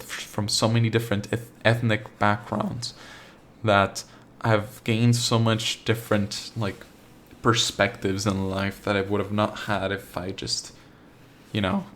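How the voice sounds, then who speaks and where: A young man talks through a solo podcast episode in a relaxed, meandering way. His voice sits a little low. He trips over his own sentences and leans on "like" as he thinks aloud, without any accent. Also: slight background noise. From Argentina. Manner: rambling, full of "like" and restarts, laid-back. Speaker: podcast host. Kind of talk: casual solo podcast monologue.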